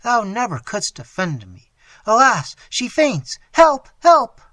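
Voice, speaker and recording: A woman's voice: mid-range voice, big pitch swings, unhurried, dry recording, pitched above the performer's own voice. A male reader voicing a distraught noble mother crying out in grief as her daughter dies, with frantic, pleading cries. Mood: anguished.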